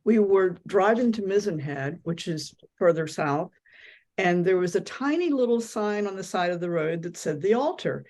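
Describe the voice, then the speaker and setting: A woman's voice: on the low side, animated, moderate pace, darkish tone. A woman speaking as a guest, casually telling a personal anecdote.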